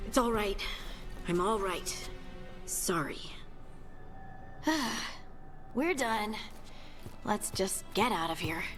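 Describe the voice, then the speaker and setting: A woman's voice: medium pitch, lively intonation, slow, pauses often. A woman voicing a feisty, rattled young fighter in a fantasy video game. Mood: weary. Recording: loud game music over voice.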